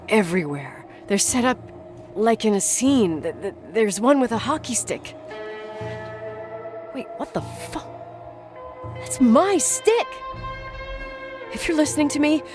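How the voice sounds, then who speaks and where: Video game lead: anxious young female explorer, naturalistic, expressive delivery, light musical bed. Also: high voice. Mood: shaken, then alarmed, pleading.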